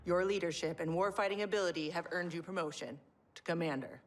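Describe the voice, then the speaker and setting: An actress playing a stern middle-aged general in a video game, with an American accent and a clipped, authoritative, formal delivery. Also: female voice, lowish voice, steady tone, quick pace, natural voice. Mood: formal, commanding. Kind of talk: dramatic video game acting.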